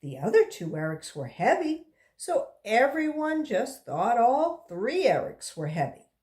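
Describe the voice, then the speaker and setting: Animated, unhurried woman reading a children's story. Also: a little high. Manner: deliberate, expressive phrasing for children. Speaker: volunteer children's story reader. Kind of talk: read-aloud storybook narration with brief asides.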